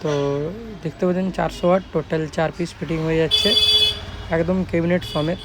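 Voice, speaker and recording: A man's voice: a little high, flat delivery, fast, heavy background noise. A man with a West Bengal Bengali accent giving a casual product showcase as a shop owner, in emphatic, repetitive sales talk. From West Bengal, India. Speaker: speaker shop owner presenting his work.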